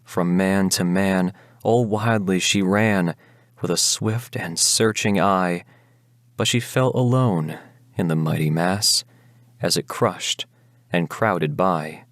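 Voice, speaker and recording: Slow, solemn man reciting a ballad. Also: low-pitched, fairly even intonation, no echo, studio quality. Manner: solemn, declamatory. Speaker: poetry reader. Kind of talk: dramatic, measured recitation of a gothic ballad.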